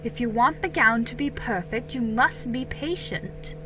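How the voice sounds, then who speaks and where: A woman voicing a patient but weary colonial mother, gently scolding in measured tones, in a dramatic storybook reading. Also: fairly high pitch, over music, natural voice, low-quality recording. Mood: patient, admonishing.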